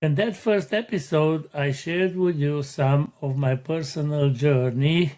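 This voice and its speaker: A middle-aged man from Romania hosting a motivational podcast, speaking in a slow, deliberate and earnest way. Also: a little high, darkish tone. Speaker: podcast host.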